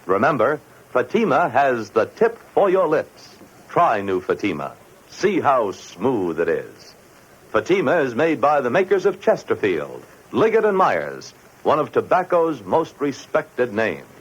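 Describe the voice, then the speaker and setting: Husky, animated male commercial announcer. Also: medium pitch, unhurried. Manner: swooping, emphatic salesmanship. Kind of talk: 1950s radio cigarette sales pitch. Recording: muffled old radio recording.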